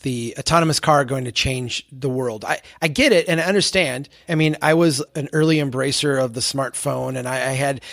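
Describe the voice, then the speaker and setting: A man's voice: mid-range voice, brisk, gravelly, few pauses, fairly bright tone. An American man in his forties explaining his views earnestly and with conviction as an interview guest. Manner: earnest, opinionated, uses rhetorical contrasts. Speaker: podcast guest, advocate for towns.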